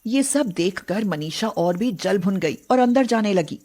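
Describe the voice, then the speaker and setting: A woman's voice: mid-range voice, animated, measured pace, darkish tone. A woman narrator reading a scripted moral story.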